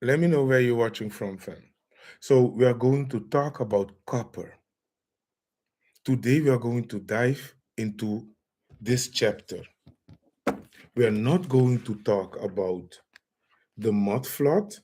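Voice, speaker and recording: Male livestream host, unhurried delivery, mid-pitched, chatty lecture tone with shout-outs. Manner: slow, greets viewers by name. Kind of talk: live-stream lecture chat.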